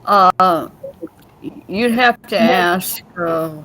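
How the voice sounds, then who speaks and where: A woman's voice: on the low side, very slow, sharp tone. Female guest, scratchy worn voice, hesitant explainer, video-call audio with light noise. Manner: informative, hesitant with fillers, self-correcting. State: voice going scratchy. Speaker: guest in a group chat. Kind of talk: casual chat.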